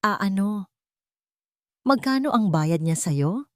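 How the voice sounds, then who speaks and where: A woman's voice: mid-range voice, animated, takes it slowly, no echo, pitched above the performer's own voice. A woman narrator plays a wounded young man in an audio romance. He is bitter and accusing, with a cold, cutting delivery in a very dry recording. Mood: cold suspicion.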